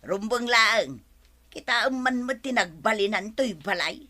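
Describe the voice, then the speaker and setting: A woman's voice: on the high side, animated, measured pace, bright, forceful tone, exaggerated voice. A woman playing a feisty, complaining poor village wife in a comedy radio drama, grumbling and exclaiming. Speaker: actor in an Ilocano comedy drama.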